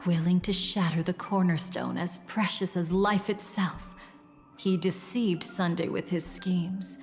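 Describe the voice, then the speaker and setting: A woman playing a poised, enigmatic game character with a measured, theatrical, teasing delivery and quiet menace. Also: mid-range voice, in a large hall, natural voice. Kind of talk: dramatic video game narration and dialogue.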